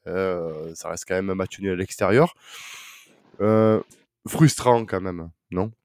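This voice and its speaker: A man's voice: low voice, takes it slowly, darkish tone, clean tone, dry recording, clean studio sound. A man hosting a casual football podcast, talking in a hesitant way with many fillers and restarts. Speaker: podcast host.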